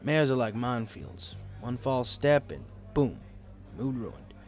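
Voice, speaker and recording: Wry male storyteller with lively pitch. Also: takes it slowly, faint music behind. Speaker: audiobook narrator. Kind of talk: first-person scripted story narration.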